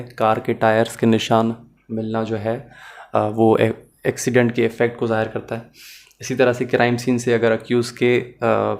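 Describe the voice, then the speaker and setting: A man's voice: medium pitch, a little mellow. A man teaching a law lecture, explaining each point step by step and mixing English legal terms into his Hindi.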